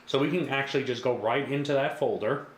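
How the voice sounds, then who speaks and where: A man giving a casual, reassuring step-by-step software tutorial as a product demonstrator. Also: mid-range voice, a little fast. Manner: casual, repetitive, reassuring instructor. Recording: echoey room with faint background noise.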